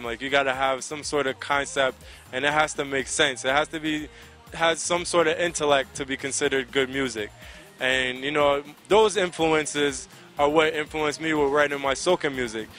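A man's voice: fairly high pitch, pushed and bright. A man in his thirties with an American accent, answering interview questions in an earnest, conversational way into a handheld microphone outdoors. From Connecticut, United States. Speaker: soca singer being interviewed. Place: outdoors by a riverside. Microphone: handheld microphone held close to the mouth.

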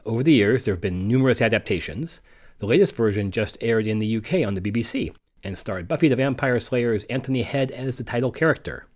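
A man's voice: mid-range voice, brisk, darkish tone. A man hosting a podcast, reading an informal, explanatory introduction from notes. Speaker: podcast host.

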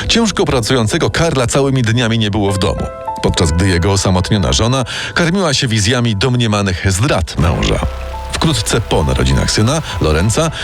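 A man giving scripted true-crime narration as a dramatic storyteller. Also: mid-range voice. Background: music bed.

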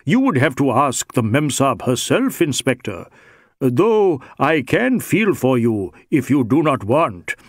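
A man's voice: medium pitch, very animated, a little slow, dry recording, clean studio sound. A man voicing a big, brawny Sikh rogue in an audiobook, sly and teasing, with wheedling mock deference. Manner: wheedling mock deference, Indian English phrasing. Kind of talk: audiobook character dialogue.

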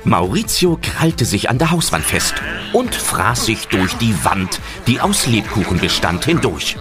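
A man's voice: lowish voice, very animated, measured pace. A man narrating a fantasy radio play for children in a scripted storytelling style. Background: loud music.

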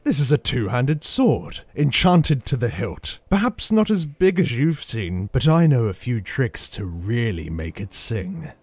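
Man as a slow, theatrical, swaggering hero. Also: medium pitch, sing-song, darkish tone, breathy edge, no echo. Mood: boastful. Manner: pompous, theatrical pauses. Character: vain, swaggering heroic romance-novel swordsman.

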